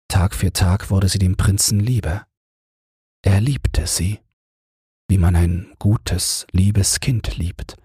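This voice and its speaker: A man reads a bedtime fairy tale in a low, heavily breathy voice. His delivery is slow, calm and soothing, as a measured audiobook narration in a dry, close sound. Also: no room sound.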